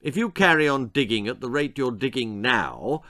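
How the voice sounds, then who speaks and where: A man's voice: medium pitch, animated, normal speed, bright, forceful tone, dry recording, studio quality. A man performs an angry storybook dad laying down the law. The voice growls, stern and weighty, stretching out its commands. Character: stern, angry father lecturing a child.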